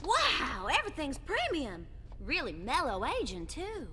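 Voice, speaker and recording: A woman voicing a cocky, foul-mouthed young space cadet in an animated sci-fi dub, cheeky and blunt. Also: sits high, a little slow, big-room sound, a little hiss or hum, exaggerated voice. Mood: breezy, teasing.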